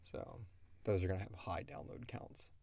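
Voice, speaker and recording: A man hosting a question-and-answer show in an informal, emphatic and animated way. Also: deep voice, normal speed, vocal fry. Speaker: host and creator of an audio drama podcast. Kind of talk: casual chat answering listener questions.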